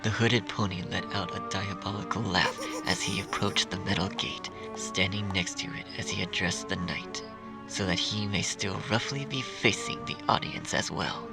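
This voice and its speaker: A man's voice: low voice, moderate pace, creaky, poor audio. A man reading a story aloud as narrator, in a scripted audiobook style. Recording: music under the reading.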